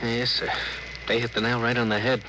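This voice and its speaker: An earnest, deferential spaceship officer acted by a man in 1950s radio drama style. He has a medium-pitched voice and a hesitant, respectful way of talking. The vintage recording is clearly noisy. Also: level tone, fairly quick, close to an everyday voice. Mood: uneasy, respectful.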